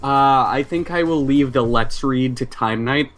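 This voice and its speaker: A man's voice: mid-range voice, unhurried, a bit bright. A man chatting casually and swearing freely as a player in a tabletop game. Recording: music behind the voice.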